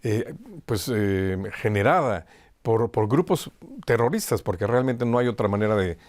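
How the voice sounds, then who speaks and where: A man hosts a conversational interview. He phrases things haltingly, doubling words as he goes. The sound is dry, with hardly any room around his voice. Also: lowish voice, a little mellow, no echo. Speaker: interview host.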